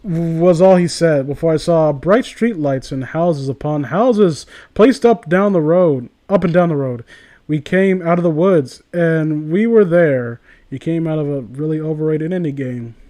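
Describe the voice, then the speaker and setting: A man with a noticeably high voice talks as a co-host in a casual comedy read-along. He is sarcastic and swears freely, breaking off from the text to riff on it. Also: a little slow, mellow. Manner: sarcastic, profane. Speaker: co-host reading and mocking fan fiction.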